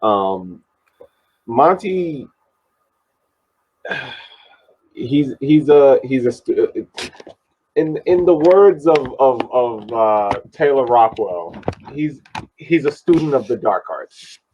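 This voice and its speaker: A man co-hosting a sports podcast, giving casual soccer analysis in a halting way, repeating phrases for emphasis, on slightly dull audio. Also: mid-range voice, lively intonation, a little hiss or hum.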